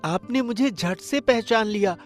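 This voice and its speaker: A man voicing a devoted, brave young grandson in a cartoon story, earnest and expressive. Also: high-pitched, measured pace. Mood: affectionate, curious. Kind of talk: Hindi cartoon story voice acting. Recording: faint music behind.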